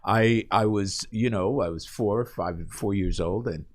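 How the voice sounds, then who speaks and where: A man from Costa Rica with a low-pitched voice, hosting a radio interview. He talks in a reflective, conversational way and often encourages his guest with short affirmations. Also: unhurried. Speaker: radio host.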